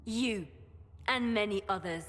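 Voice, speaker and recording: An actress playing a cool, shrewd female advisor in a video game, speaking in a measured, grave way. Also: medium pitch, natural voice. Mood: cool suspicion. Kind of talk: dramatic video game acting. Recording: echoey hall, music behind.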